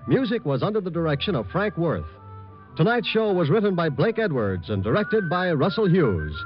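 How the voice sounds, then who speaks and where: An American man reading a scripted, polished, promotional announcement in 1940s radio style. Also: on the high side. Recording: muffled, dry vintage radio broadcast.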